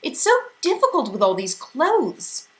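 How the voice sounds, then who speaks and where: A woman's voice: sits high, big pitch swings, measured pace, fairly bright tone, pitched above the performer's own voice, exaggerated voice, rough recording. A woman voicing a fussy, flustered old female being with a plaintive, fluttery way of speaking.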